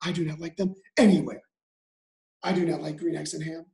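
A man's voice: fairly high pitch, level tone, brisk, gravelly, warm tone. Man voicing emphatic, grumpy storybook creature, echoey room. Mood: exasperated. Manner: emphatic, exasperated chanting in rhyme. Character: grumpy stubborn storybook creature refusing strange food.

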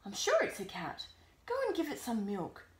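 A woman voicing a gentle, coaxing old widow from a storybook, softly spoken. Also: mid-range voice, wildly swooping pitch, normal speed. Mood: hushed, curious. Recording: roomy echo, background noise.

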